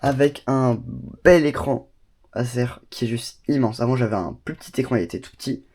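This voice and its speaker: A man's voice: medium pitch, a little fast, a little mellow. A man from Bordeaux with a cold, showing off his home studio in a chatty, enthusiastic vlog full of fillers and asides. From Bordeaux, France. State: has a cold. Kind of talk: casual vlog tour. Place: small home studio room.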